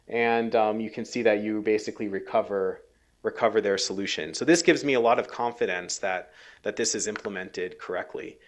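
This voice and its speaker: A man giving a technical research lecture, halting and full of ums and restarts, his voice a little worn. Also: on the low side, muffled recording. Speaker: scientist giving a research talk.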